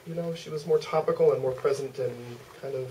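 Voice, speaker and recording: Mellow man moderating, distant mic. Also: fairly high pitch, steady tone, fast. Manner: hesitant, wordy, meandering. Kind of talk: thoughtful, loosely phrased interview questions. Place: theater stage at a public Q&A. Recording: distant mic, faint room noise.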